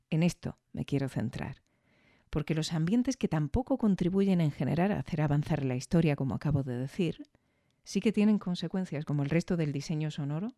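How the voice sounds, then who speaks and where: Mellow-voiced podcast host, dry sound. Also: medium pitch, no room sound. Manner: thoughtful, explanatory. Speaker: podcast host reviewing audio drama. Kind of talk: reflective commentary.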